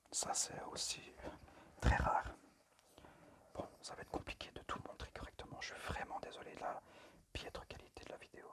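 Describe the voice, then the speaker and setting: Guy whispering asmr style, breathy, kinda hesitant and apologetic, amateur show and tell. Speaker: amateur ASMR video creator. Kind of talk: whispered ASMR presentation of a collection.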